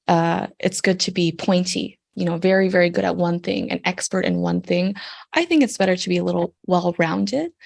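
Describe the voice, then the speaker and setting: A woman's voice: fairly low pitch, creaky, darkish tone. A young woman from Canada, a surgical intern, answering interview questions in a friendly, explanatory way with frequent ums. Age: young adult. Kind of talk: interview answers, giving advice.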